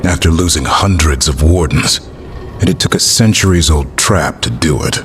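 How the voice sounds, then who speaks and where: A battle-weary fighter for a video game, with an extremely low voice. He delivers his lines somberly and grimly over faint background noise. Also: animated, moderate pace, fairly bright tone. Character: grim, battle-weary male warrior. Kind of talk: video game character acting.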